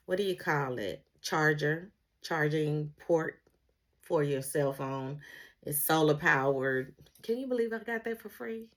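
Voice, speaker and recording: A woman's voice: low voice, a bit bright. A middle-aged woman talking in a casual, rambling solo vlog style, often searching for her words. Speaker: vlogger, former emergency dispatcher.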